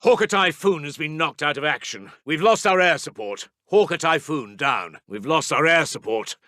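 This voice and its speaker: Casting: grim British WWII intelligence officer, game voicelines, forceful highish voice, terse delivery, close dry sound. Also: male voice, animated, normal speed, no room sound. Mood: grave, clipped. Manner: clipped, terse, grave military reporting. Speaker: game voice actor. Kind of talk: military radio report voicelines for a strategy game.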